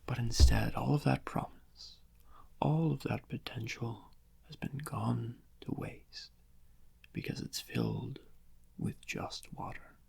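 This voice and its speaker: A man playing a vain, theatrical criminal mastermind who gloats and draws out his phrases in a soft-spoken ASMR roleplay, with a breathy, gravelly voice. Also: mid-range voice, full character voice. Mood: aggrieved, self-pitying. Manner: slow, drawn-out, melodramatic, savouring each phrase with long pauses.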